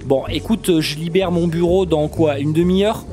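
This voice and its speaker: A man's voice: on the high side, moderate pace, music in the background, lightly acted. A man acting a busy, distracted and dryly sarcastic office worker in a comedic audio drama, with hesitant asides. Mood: wry, brisk. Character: busy, distracted, sarcastic male office creator hearing a pitch.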